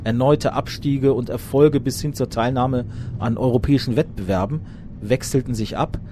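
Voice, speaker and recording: A man narrating a scripted documentary in a measured, factual reading voice. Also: medium pitch, background noise.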